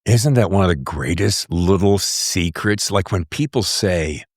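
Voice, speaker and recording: A middle-aged American man with a bassy voice, hosting a podcast in an effusive, dramatic radio style with emphatic pauses. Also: animated. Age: middle-aged or older. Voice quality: bassy, deep voice. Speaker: podcast host. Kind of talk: podcast introduction and casual interview chat.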